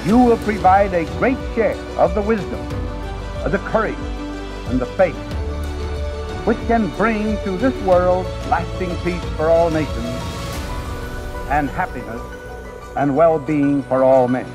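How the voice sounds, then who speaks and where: Archival speech clip: a man with a high voice, solemn and slow, music underneath. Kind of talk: formal 1940s speech.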